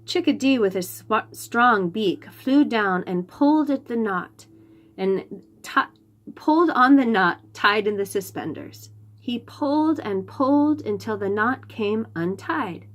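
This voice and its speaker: A woman reading a storybook aloud for young children, expressive and deliberate, stressing key phrases. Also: on the high side.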